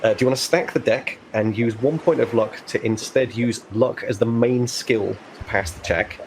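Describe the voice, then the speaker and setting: A man as game master, explaining rules and narrating conversationally, pausing to think aloud. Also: mid-range voice, a little hiss or hum, rough recording.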